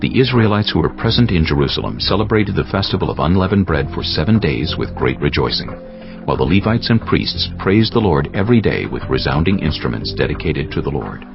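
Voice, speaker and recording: Very deep, gravelly male scripture narrator. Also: sing-song. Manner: measured, solemn storytelling. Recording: dull sound with music behind. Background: prominent music.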